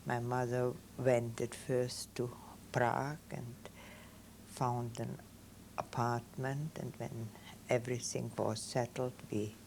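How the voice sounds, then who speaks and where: A woman in her seventies from Austria with a Viennese German accent, German her first language, giving oral history testimony in slow, halting, simple phrases. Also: extremely low pitch, vocal fry, darkish tone, slight background noise. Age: 70s. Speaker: interviewee.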